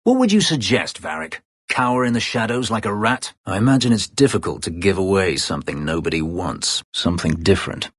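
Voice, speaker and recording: A man playing a brooding, bitter escaped-slave elf warrior in a video game, terse, guarded and sardonic, with formal diction and a gravelly low voice. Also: very animated, brisk, few pauses, dry recording, clean studio sound. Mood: sardonic, cold. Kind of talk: video game character dialogue.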